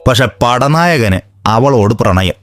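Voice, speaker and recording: A man's voice: mid-range voice, expressive, measured pace, a bit bright, soft background music. A man playing a shrewd, self-important village astrologer in a comedy cartoon, stern and pompous in his pronouncements. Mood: solemn pronouncing. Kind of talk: comedy cartoon skit dialogue.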